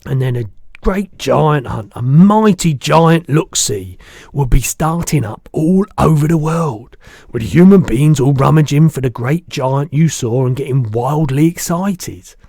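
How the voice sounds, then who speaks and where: A man's voice: a little high, animated, unhurried, warm tone, breathy edge, dry recording, clean studio sound, voice pushed up, heavily acted. A man voicing a big friendly giant from a storybook, blustering, muddled and good-natured, speaking emphatically in garbled childlike grammar. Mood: earnest, animated.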